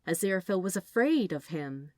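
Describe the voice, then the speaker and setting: A woman's voice: on the low side, big pitch swings, brisk, no room sound, studio quality. A woman reading fiction aloud as a narrator in a scripted storytelling style.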